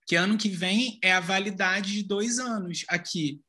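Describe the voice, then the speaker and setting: A man's voice: high-pitched, moderate pace, pushed and bright. A Brazilian man co-hosting a talk show, giving his opinions in casual chat. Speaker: co-host.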